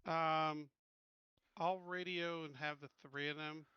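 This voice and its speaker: A man's voice: on the high side, flat delivery, slow pace, clear, resonant tone, no room sound, highs cut off. A man playing a tabletop roleplaying game, chatting casually as he talks through decisions.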